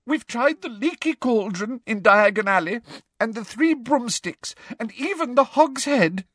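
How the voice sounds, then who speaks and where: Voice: mid-range voice, expressive, unhurried, pushed and bright, no room sound, studio quality, voice pushed up. A performer voicing a distressed teenage schoolgirl in an audiobook, tearful and stammering but defiant. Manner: sobbing, stammering, gasping delivery.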